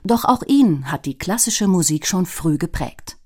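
A woman reading a scripted documentary narration in a measured, even way. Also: fairly low pitch, breathy edge.

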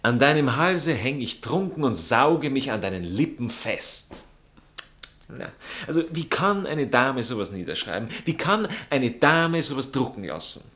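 An actor playing a prim, jealous aristocratic fiancé with an Austrian accent, drawling and indignant in a satirical stage comedy. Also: male voice, mid-range voice, big pitch swings, unhurried, a bit bright. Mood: scandalized. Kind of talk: stage comedy acting in a radio play manner.

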